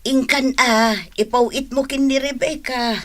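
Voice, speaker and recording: A woman's voice: high-pitched, steady tone, unhurried. A woman acting a practical mother in a radio drama, with an Ilocano accent. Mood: matter-of-fact.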